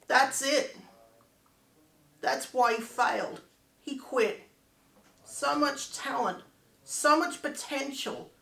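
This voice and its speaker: A man's voice: extremely high pitch, gravelly, sharp tone, full character voice. A man playing a grieving, disappointed friend in an earnest, reflective dramatic monologue. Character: grieving friend who believed in a failed musician. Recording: echoey room.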